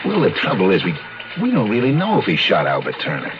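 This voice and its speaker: A blunt, casual cop sidekick in a seventies radio drama. His lowish voice swings freely as he talks in plain colloquial speech. It is a noisy old recording. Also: wildly swooping pitch, fast talker, lo-fi sound. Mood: matter-of-fact. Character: gruff, blunt, casual male police detective sidekick. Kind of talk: 1970s radio drama acting.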